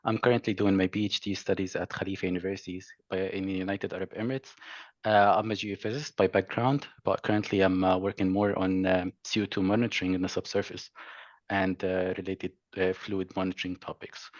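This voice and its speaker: Casting: young Egyptian-accented man, deep pitch, explaining on a panel, dry lo-fi sound. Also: level tone, brisk, dry recording. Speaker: panelist, geophysicist.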